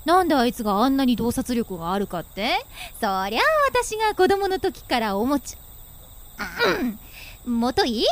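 A woman playing a bossy but caring adult older sister in a radio drama, with a brisk, teasing way of talking. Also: on the high side, very animated, a little hiss or hum. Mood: teasing, friendly. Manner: brisk, teasing, blunt with her brother. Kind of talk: amateur radio drama acting.

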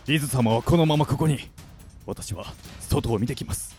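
A man's voice: mid-range voice, normal speed, darkish tone, close to an everyday voice. A man playing a polite, protective attendant in a radio drama, courteous and explanatory. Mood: urgent, protective. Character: polite, protective male attendant to young girl. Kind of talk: Japanese radio drama acting. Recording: music under the voice.

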